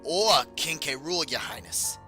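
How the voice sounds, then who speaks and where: A man doing a high, shouty little ghost. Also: animated, unhurried, exaggerated voice. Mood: formal. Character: small excited ghost, nosy and jumpy. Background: music playing.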